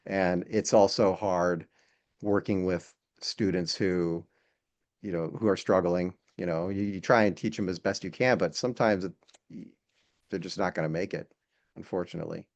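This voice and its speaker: A man speaking casually as an interview guest and instructor, in a hesitant, halting way with repeated words. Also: deep voice, fairly even intonation. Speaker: podcast guest, air traffic control instructor. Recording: dull, lo-fi sound.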